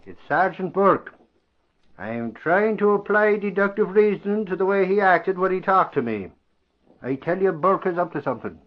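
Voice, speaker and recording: An actor playing an excitable, blustering newspaperman with folksy exclamations, in 1940s radio drama style. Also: high voice, moderate pace. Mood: puzzled, suspicious. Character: excitable, blustering Irish male newspaper bodyguard-reporter. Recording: muffled vintage radio broadcast.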